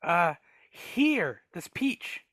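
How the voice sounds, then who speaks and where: A woman's voice: lowish voice, big pitch swings, very slow, a bit bright, no room sound, heavily acted. A woman voicing a timid, guilt-ridden male dwarf in a live reading, speaking hesitantly. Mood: sheepish. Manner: hesitant, mumbling.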